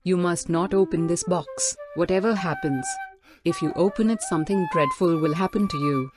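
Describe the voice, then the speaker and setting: A man voicing a gentle young sea princess, speaking softly, sadly and pleadingly. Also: high voice, normal speed, music in the background, lightly acted. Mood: solemn. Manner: soft, sad, pleading. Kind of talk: storybook reading.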